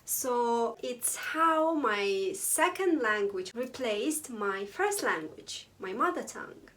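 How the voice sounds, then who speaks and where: A young woman from Azerbaijan, telling her own story to camera in a casual, playful vlog, sometimes breaking into high exclamations or mock whispers. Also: expressive, slow pace, fairly bright tone. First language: Azeri. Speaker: vlogger.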